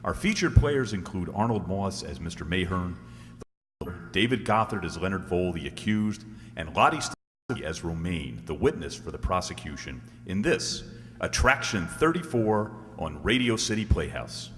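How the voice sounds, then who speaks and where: A man's voice: low voice, sing-song, normal speed, a bit bright. A man announcing a radio drama in old-time broadcast style, on a reverberant recording.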